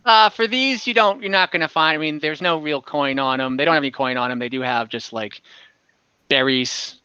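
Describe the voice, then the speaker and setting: A man acting as dungeon master, improvising narration and rulings in a halting, conversational way and often repeating himself. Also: on the high side, sharp tone, clear, resonant tone, dry recording, highs cut off. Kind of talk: improvised narration and rulings in an online tabletop game.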